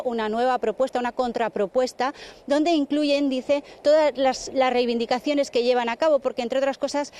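Woman, correspondent, fairly high voice, smooth and clear. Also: darkish tone. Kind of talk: live news report.

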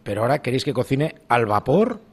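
A man's voice: mid-range voice, big pitch swings, fast talker, slightly rough. A man with a Castilian Spanish accent hosting a radio show, chatting informally as he interviews guests in a colloquial way. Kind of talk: conversational tech interview.

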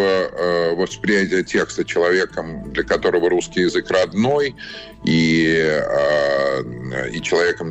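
A man being interviewed as a writer, answering off the cuff in a halting way, searching for words. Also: a bass voice. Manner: halting, searching for words, frequent hedging phrases.

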